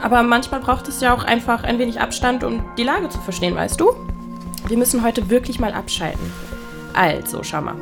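A woman's voice: a little high, a little fast, gravelly, over music. Coaxing, casual young woman. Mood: upbeat, persuasive. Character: caring, upbeat young woman friend, gently pushy. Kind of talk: naturalistic audio drama dialogue.

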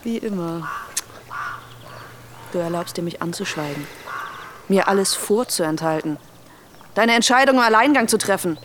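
An actress playing a hurt, reproachful wife on a wilderness trip in a naturalistic radio drama, with a probing, sarcastic manner. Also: female voice, fairly low pitch, expressive, moderate pace, long pauses, fairly bright tone, slight background noise. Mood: bitter, sarcastic.

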